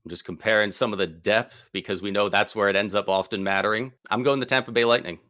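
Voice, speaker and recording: Bright, excitable man talking hockey. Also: on the low side, quick pace, dry recording, studio quality. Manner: excitable, slangy, emphatic. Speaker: podcast co-host. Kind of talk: casual sports podcast chat.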